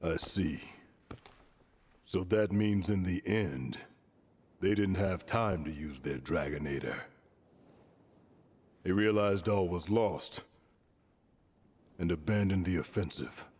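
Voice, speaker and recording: A man playing a stern, commanding general in a video game, speaking with measured authority. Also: low-pitched, a little mellow, slightly rough, exaggerated voice. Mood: grave. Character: stern, commanding male army general briefing troops. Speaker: military commander. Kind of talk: video game cutscene acting.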